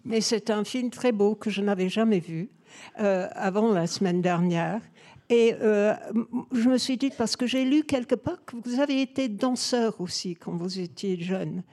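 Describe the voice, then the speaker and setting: A woman interviewer with a warm, relaxed voice asks about a director's films. She hesitates often and fills her pauses. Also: medium pitch. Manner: hesitant, with many fillers. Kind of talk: interview questions.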